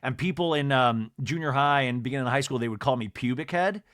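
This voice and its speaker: A man hosting a solo podcast that recaps reality TV, talking in a lively, rambling, sarcastic way and swearing now and then. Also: medium pitch, fast talker, dry recording, studio quality. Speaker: podcast host.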